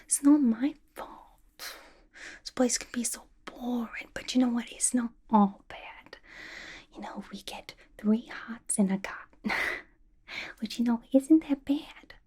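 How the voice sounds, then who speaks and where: Casting: woman acting as a mischievous young villainess inmate for ASMR roleplay, breathy whisper, soft mellow tone, gossipy and manic. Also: fairly high pitch, slow pace. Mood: mischievous, chummy. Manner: playful, slangy, conspiratorial gossiping. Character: manic, chatty, mischievous young female villain locked in an asylum.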